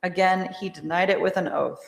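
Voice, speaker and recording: A woman narrating a scripture drama in a church, with a measured, formal, solemn delivery. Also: on the low side, fairly even intonation, vocal fry. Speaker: narrator of Passion reading. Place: large church, live reading. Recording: echoey church with long reverb.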